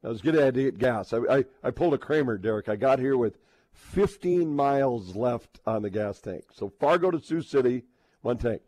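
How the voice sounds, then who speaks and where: A man hosting a sports radio show in casual talk, halting and repeating words as he builds toward a point. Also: medium pitch, animated, darkish tone, dry recording.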